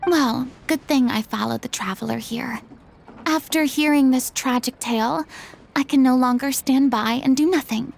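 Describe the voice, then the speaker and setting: A woman's voice: sits high, normal speed, creaky, slight background noise. A woman playing a proud, grandiose young former celebrity performer in a video game, with florid theatrical delivery. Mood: proud, self-assured.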